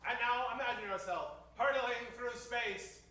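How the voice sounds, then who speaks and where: A man's voice: very high voice, unhurried. A man hosting a live improv radio show in the grandiose, tongue-in-cheek style of an old-time radio announcer, addressing an audience. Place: echoey venue in front of a live audience. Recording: noisy, echoey live stage recording from far off.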